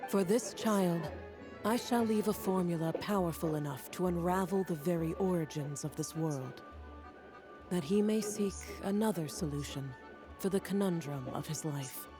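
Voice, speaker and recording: A woman's voice: lowish voice, normal speed, music in the background, close to an everyday voice. A woman playing a cool, scholarly witch in a video game, formal and composed. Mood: cool, intrigued.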